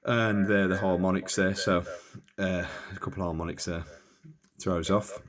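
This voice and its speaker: A man's voice: sits low, steady tone, normal speed. A man giving a casual, unscripted hands-on demonstration, thinking aloud in a hesitant, tentative way. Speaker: presenter of a radio equipment demonstration.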